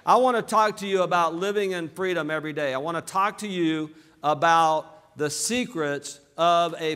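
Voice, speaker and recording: A man from southern Alabama with a Southern American accent giving an energetic motivational business seminar, calling out to his audience for responses. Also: on the high side, clean tone. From southern Alabama, USA. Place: large hall with live audience. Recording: reverberant hall.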